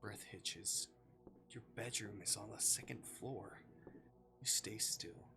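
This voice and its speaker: A livestreamer reading a visual novel aloud and commenting on it, expressive and sweary, with dramatic delivery. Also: sits low, slow pace, creaky, noisy background. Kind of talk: livestream gameplay commentary mixed with read-aloud narration. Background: music.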